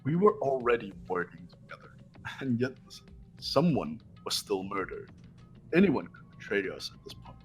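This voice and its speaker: A man plays a cynical teenage schoolboy from a video game, lowish in pitch and very animated in intonation. He is blunt and sardonic, over background music. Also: normal speed. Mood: accusing. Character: cynical, scornful male high school student. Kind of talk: amateur game voice acting.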